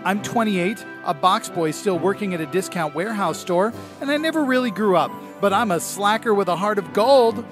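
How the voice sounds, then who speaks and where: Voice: mid-range voice, expressive, normal speed, over music, lightly acted. Actor playing a sensitive young slacker boyfriend. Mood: sincere, breezy. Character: sensitive slacker boyfriend, twenty-eight, heart of gold. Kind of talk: parody film trailer dialogue.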